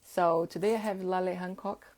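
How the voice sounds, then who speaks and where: A woman whose first language is Portuguese, hosting a casual live-stream chat at an unhurried pace, with frequent fillers. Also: on the low side, fairly even intonation, darkish tone. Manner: unhurried, chatty, many fillers. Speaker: host.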